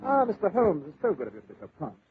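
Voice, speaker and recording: Blustery, quick-talking lord, noisy old radio. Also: male voice, high-pitched, wildly swooping pitch, phone-quality sound. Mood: fussily polite. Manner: blustering, hesitant interjections. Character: blustery self-made English lord, blunt and fussy. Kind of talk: 1940s radio acting.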